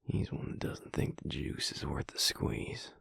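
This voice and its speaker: Audio roleplay vampire mate, played by a man: weary, tender, reassuring, half-whispered and gravelly, in a very dry, close sound. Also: dry recording, natural voice. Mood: hushed, reflective. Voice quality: breathy, gravelly low voice. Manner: hushed, slow, deliberate, reassuring. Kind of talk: intimate audio roleplay monologue.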